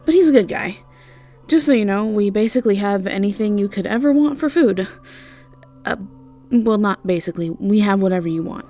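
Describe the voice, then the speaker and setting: A woman voicing a brisk, chatty, easily irritated witch innkeeper in an audio drama, speaking casually with hesitations and swearing. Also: medium pitch, lively intonation, dark tone, soft background music, voice pushed up, lightly acted. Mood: chatty, friendly. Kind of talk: audio drama character acting.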